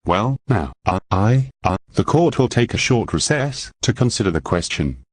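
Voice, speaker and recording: A man's voice: fairly low pitch, big pitch swings, normal speed, breathy edge, dry recording, studio quality. A man playing a flustered, worried elderly judge and grandfather in a radio play. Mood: flustered, troubled.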